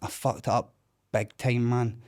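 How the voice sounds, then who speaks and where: A Scottish man in his thirties, a self-described loudmouth, bluntly and candidly telling his own story with plenty of swearing in casual interview talk. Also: medium pitch, flat delivery, a little slow. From Scotland.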